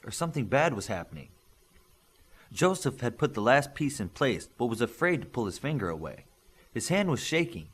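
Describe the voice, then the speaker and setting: A man reading a superhero story aloud as scripted narration, in an expressive storytelling manner. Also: mid-range voice. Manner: expressive storytelling, acting out dialogue. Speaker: audiobook narrator.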